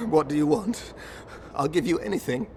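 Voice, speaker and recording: A man's voice: a little high, lively intonation, moderate pace, gravelly, over music. A male actor playing a desperate, wounded villain in a video game, pleading and cursing in a strained, shrieking voice. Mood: terrified pleading. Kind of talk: dramatic video game acting.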